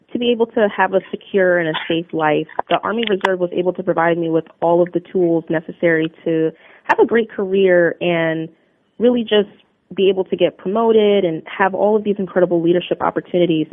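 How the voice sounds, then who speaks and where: A woman's voice: on the low side, no echo. Young woman on a tinny phone line. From New Jersey, USA. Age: young adult. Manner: upbeat, earnest and polished. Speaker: interview guest, Army Reserve drill sergeant. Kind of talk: radio interview answers. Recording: narrow phone-line sound, dry.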